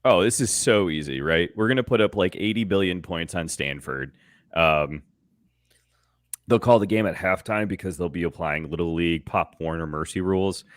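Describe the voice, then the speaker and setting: A man's voice: low-pitched, normal speed, dry recording, clean studio sound. A man bantering casually about sports as a show panelist, ranting in a sarcastic, profane, exaggerating way.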